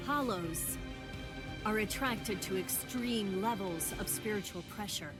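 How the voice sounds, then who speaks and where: A woman voicing a stern, composed female spirit warrior in an anime game dub, with formal, measured and commanding delivery. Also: mid-range voice, close to an everyday voice. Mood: grave, measured. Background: background music.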